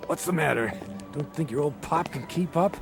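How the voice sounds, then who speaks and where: A man's voice: fairly high pitch, moderate pace, creaky, music in the background. An actor playing a desperate, remorseful middle-aged father and small-time hustler, urgent and emotional, in radio-drama style. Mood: playful, covering up. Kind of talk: dramatic radio-play acting.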